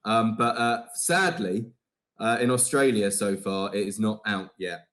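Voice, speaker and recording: A man, a comedian and filmmaker guest, telling his story in a halting way full of ums. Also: on the low side, a bit bright. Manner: hesitant, many ums and uhs. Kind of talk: casual interview chat.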